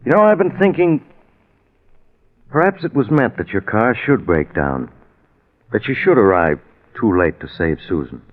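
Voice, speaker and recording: An actor playing a concerned, steadying friend, earnest and admonishing in a deliberate 1940s radio drama style. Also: male voice, on the low side, sing-song. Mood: earnest reasoning. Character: concerned, steadying male friend of a doomed man. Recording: very muffled old 1940s radio recording.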